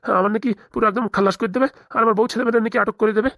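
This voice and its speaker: A woman's voice: fairly high pitch, steady tone, very fast, a bit bright, no room sound, clean studio sound. A woman voicing a timid, anxious cartoon man, a lawyer who pleads and frets in a rural Bengali accent. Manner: pleading, fretful, stammering. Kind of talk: comic cartoon dialogue.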